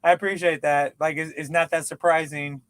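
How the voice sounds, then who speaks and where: A man's voice: fairly high pitch, level tone, brisk, fairly bright tone, no room sound. A man in his late thirties chatting as a guest, joking and self-deprecating, stumbling over words. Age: 30s. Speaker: podcast guest. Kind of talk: casual chat.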